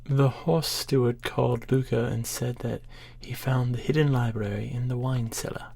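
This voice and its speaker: Creaky, husky woman playing a fantasy companion. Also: a bass voice, fairly even intonation, moderate pace, mellow, exaggerated voice. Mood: focused, earnest. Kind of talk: fantasy audio roleplay acting.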